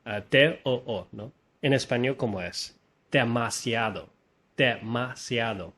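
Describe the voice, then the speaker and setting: Relaxed man with a mid-pitched voice. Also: slow pace. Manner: relaxed, explains with examples and fillers. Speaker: host. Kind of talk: casual conversation about learning languages.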